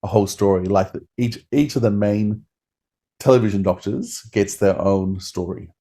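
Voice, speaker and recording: Mellow-voiced male podcast co-host. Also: on the low side, moderate pace. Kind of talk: informative casual review chat.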